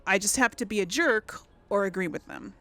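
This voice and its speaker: A woman's voice: mid-range voice, expressive, brisk, gravelly, a bit bright, faint music behind. A woman hosting a casual let's play, thinking aloud and often trailing off into mutters. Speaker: gaming video host.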